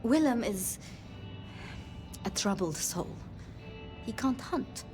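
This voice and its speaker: A gentle, anxious vampire woman from a video game. She speaks slowly in a fairly high voice, hesitant and earnest, with faint music behind her. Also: lively intonation. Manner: hesitant, earnest, flustered, polite. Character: gentle, anxious, idealistic young female vampire.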